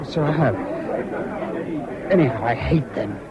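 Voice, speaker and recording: An actor playing a needy, highly strung bridegroom and war veteran, with an English accent and a stammering, pleading manner, in a British radio drama. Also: male voice, lively intonation, unhurried, over a noisy crowd, close to an everyday voice. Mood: sullen. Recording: dull old radio recording with faint noise.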